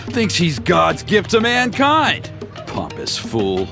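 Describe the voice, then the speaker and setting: A man's voice: high-pitched, wildly swooping pitch, drawn-out words, gravelly, over loud music, heavily acted. A man playing an exasperated, gossipy male ceremony organizer who grumbles and sighs. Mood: griping.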